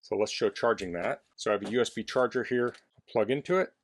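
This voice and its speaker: A man's voice: on the low side, brisk. A man casually demonstrating a product indoors in a basement, explaining things in a plainspoken way as a reviewer.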